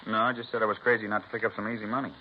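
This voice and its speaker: An actor playing a defensive, streetwise ex-con with a blunt, slangy manner, in 1950s radio drama style. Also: male voice, fairly low pitch, little pitch movement, racing, natural voice. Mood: wary, explaining. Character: defensive, streetwise male ex-con, wary but talkative. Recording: muffled, noisy 1950s radio recording.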